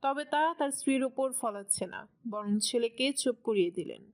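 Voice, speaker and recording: A woman's voice: fairly high pitch, lively intonation, normal speed. A woman reading scripted narration as the storyteller of a dramatic romance story.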